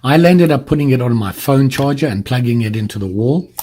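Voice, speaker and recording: A man's voice: mid-range voice, lively intonation, a little fast, mellow. A man giving a casual hands-on product demonstration, informally explaining step by step as he handles the device. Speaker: product reviewer.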